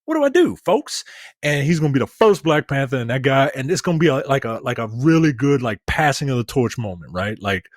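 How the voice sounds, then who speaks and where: A man's voice: mid-range voice, sing-song, a little fast, no room sound, clean studio sound. A man co-hosting a podcast in casual chat, emphatic and swearing, repeating his points to drive them home. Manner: emphatic, repeats himself, profane. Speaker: podcast co-host.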